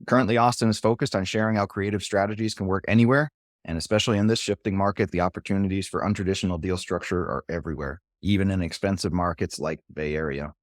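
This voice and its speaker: A man's voice: low-pitched, fairly even intonation, quick pace, no room sound, studio quality. A man hosting an interview podcast, reading the guest's bio and then chatting in an upbeat, encouraging way. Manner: upbeat, encouraging, casual fillers. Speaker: podcast host.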